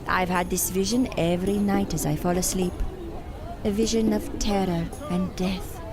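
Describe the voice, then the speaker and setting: A woman playing a contemptuous, cryptic circus mystic in an audio drama, speaking in a deliberate, theatrical and cutting way. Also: on the low side, fairly even intonation, over music, lightly acted. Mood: ominous, cryptic.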